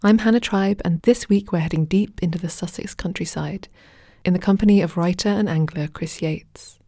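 Mellow, lowish female podcast presenter, dry sound. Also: normal speed, no room sound. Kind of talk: scripted podcast introduction.

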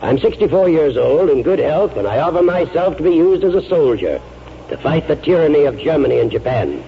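A man acting a stern, self-righteous man in his sixties in a 1940s radio drama, with creaky, formal delivery. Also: a little high, big pitch swings, fairly quick. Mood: brisk, proud. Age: 60s. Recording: muffled 1940s radio, faint noise.

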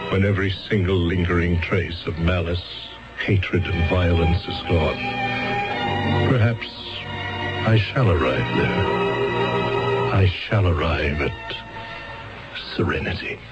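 A man's voice: deep voice, takes it slowly, long pauses. An American actor in a radio drama playing a weary, grieving male lawyer in custody, brooding and wistful. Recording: dull radio recording with music.

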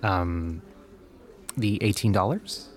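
An actor playing a polite young customer service rep in an audio comedy drama, hesitant and apologetic. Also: male voice, low-pitched, slow. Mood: polite, placating. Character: polite, placating young male phone rep under pressure.

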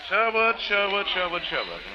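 High-voiced, chummy British hotel clerk. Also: male voice, wildly swooping pitch, moderate pace. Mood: breezy, amused. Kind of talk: 1940s radio acting. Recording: dull old radio recording.

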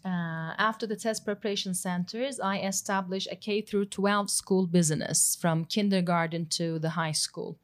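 Interpreter, female, lowish voice, halting English with frequent "uh" fillers. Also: steady tone. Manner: halting, fills gaps with "uh" and "you know". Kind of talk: consecutive interpreting.

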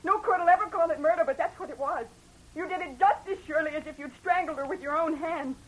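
A high-voiced avenging young woman. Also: fast talker, low-quality recording. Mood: vengeful. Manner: intense, emotional. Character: young woman out for revenge, fierce then shaken. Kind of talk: 1940s radio acting. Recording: old radio broadcast with faint hiss.

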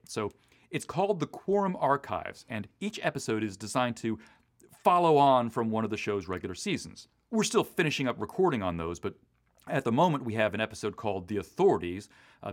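An American man speaks as a podcast co-host in a conversational style. His pitch moves around freely, giving his explanations a lively contour. He is articulate and sets things out clearly. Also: brisk. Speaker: podcast co-host and audio drama writer.

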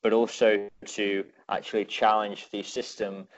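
Articulate young British man, low voice. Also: level tone, a little slow, highs cut off. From United Kingdom. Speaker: podcast guest, innovation consultant. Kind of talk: interview answers.

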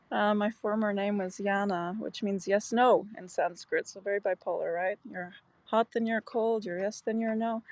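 A woman's voice: mid-range voice, dry recording. A woman from Canada sharing personal thoughts in an earnest, conversational way, as a speaker in an audio chat room.

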